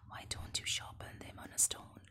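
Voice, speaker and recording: A woman's voice: moderate pace, lightly acted. A woman whispering a sly clever frog. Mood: sly polite.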